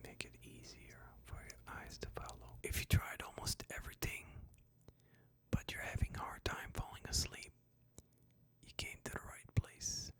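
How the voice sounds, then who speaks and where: A host whispering a sleep hypnosis session in a breathy, soothing, deliberate way, phrase by phrase.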